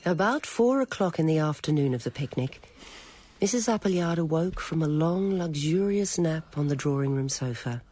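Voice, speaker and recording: A narrator reading a scripted dramatic story in a measured, literary manner. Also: mid-range voice, animated, darkish tone, slight background noise.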